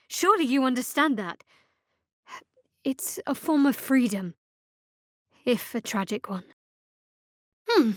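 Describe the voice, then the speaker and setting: A woman performs a young female cleric of a dark goddess in a fantasy video game. Her voice sits slightly high and moves slowly and deliberately, guarded and sardonic yet devout. The recording is dry and close, free of room sound. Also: no echo, natural voice. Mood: solemn, devout, then wry, appraising.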